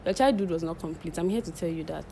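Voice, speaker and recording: A woman's voice: fairly low pitch, fast, gravelly, dark tone, slight background noise. A woman, co-hosting a podcast in playful, teasing casual chat. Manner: playful, teasing, bursts into song and laughter. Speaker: podcast co-host.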